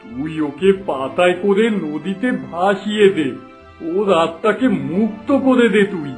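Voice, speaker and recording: Guy doing a solemn cartoon sadhu, high voice for a man, commanding, bit of music behind. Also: measured pace. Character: stern, solemn male holy man giving counsel. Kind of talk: cartoon drama dialogue. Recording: faint music under the voice.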